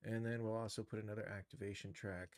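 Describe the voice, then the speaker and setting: A man giving a casual live software tutorial, informally thinking aloud as he works. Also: fairly low pitch, little pitch movement, fast talker, dry recording, studio quality. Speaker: streamer teaching game-engine animation.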